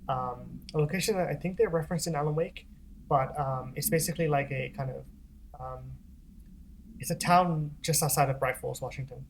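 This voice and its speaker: A let's-play host chatting casually over gameplay, rambling and laughing between steps at a mid pitch. A faint hiss of ambience sits behind the voice. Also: poor audio. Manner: relaxed, rambling, frequent ums and laughs.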